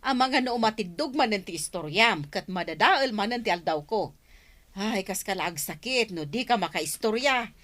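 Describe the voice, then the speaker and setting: A woman's voice: medium pitch, animated, normal speed, a bit bright. A woman playing a chatty, nosy village food vendor in a radio drama, folksy and gossipy, with an Ilocano accent. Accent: Ilocano Filipino. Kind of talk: Filipino radio drama acting.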